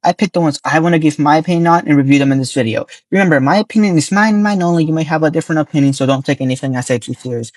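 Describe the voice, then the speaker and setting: A man with a hoarse, worn voice reviewing albums from notes in a casual way, often correcting his own slips. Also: on the high side, dry recording. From Nevada, US. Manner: reads quick notes, corrects himself, chuckles at slips.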